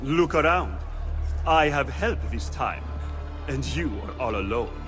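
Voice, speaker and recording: A man's voice: medium pitch, expressive, a little slow, natural voice. An actor playing an arrogant, cowardly Italian nobleman in a video game, taunting theatrically in an Italian accent. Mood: sneering, taunting. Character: arrogant sneering Italian nobleman bully, man, cowardly when beaten. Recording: loud music behind.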